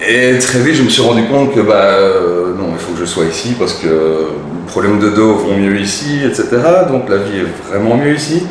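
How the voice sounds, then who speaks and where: A man in his forties with a Belgian French accent, a guest talking casually in an interview, relaxed and hesitant with many fillers. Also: fairly low pitch, normal speed, hardly any pauses. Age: 40s. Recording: echoey room, noisy, mic at a distance.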